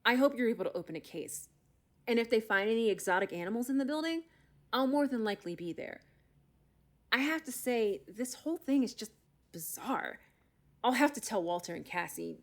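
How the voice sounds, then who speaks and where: An actress playing a brisk, skeptical but helpful animal expert, speaking matter-of-factly in an audio drama. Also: female voice, fairly high pitch, lively intonation. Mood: dismissive, polite. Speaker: outside expert being interviewed.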